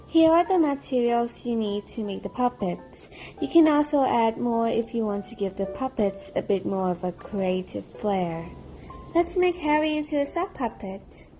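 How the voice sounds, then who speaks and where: A woman's voice: fairly high pitch, lively intonation, moderate pace. A young woman giving simple, casual craft instructions as a co-presenter. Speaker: tutorial co-presenter. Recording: loud music over the voice. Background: loud music.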